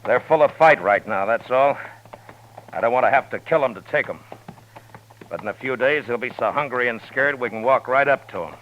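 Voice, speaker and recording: A man playing a calm, firm frontier marshal. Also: mid-range voice, a little fast, slight background noise, close to an everyday voice. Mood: steady. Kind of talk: 1950s radio drama acting. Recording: muffled old radio broadcast.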